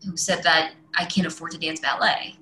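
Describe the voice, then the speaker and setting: A woman with a bright, sharp voice of middling pitch talks casually and informally, with effusive phrasing. She sounds like a host in a reverberant room, with faint noise behind her. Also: little pitch movement, moderate pace. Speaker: ballet class host and channel founder. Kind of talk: casual, conversational interjection. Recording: roomy echo, faint background noise.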